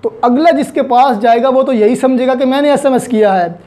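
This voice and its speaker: A man with a Pakistani accent speaks in a mellow, relaxed tone as a law lecturer. He explains step by step with examples, asking questions and then answering them. English terms and phrases are mixed into his delivery. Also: very high voice, brisk.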